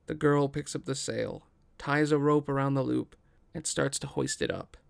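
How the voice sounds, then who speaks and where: A man's voice: mid-range voice, normal speed, creaky. A man reading scripted stage directions as the narrator of a table read.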